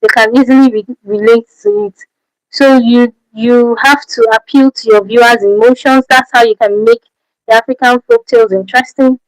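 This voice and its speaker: A Nigerian woman with a fairly high voice hosts a livestream Q&A in a dry, close-sounding space. She is a native Efik speaker and talks with a Nigerian accent, repeating her main points and tossing out rhetorical questions as she goes. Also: fairly even intonation, moderate pace, dry recording, poor audio. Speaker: channel host. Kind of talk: livestream Q&A advice.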